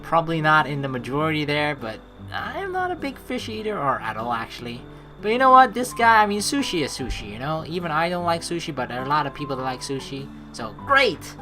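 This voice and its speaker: A young woman hosts a game playthrough in a low but very animated voice. She switches between reading narration and expressive, sweary commentary. Faint music runs underneath. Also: a little fast. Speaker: let's play host.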